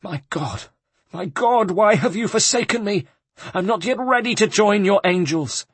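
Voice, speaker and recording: High, wailing, panicky pious abbot. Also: male voice, expressive, unhurried, slightly rough, no echo, exaggerated voice. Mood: pleading, terrified. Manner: theatrical wailing and pious exclaiming. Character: panicky, pious male abbot, dreading demons.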